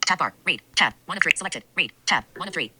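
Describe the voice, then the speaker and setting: A synthetic woman's voice reading out interface items as a screen reader, in a clipped, flat way. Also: low-pitched, fast talker, noisy background.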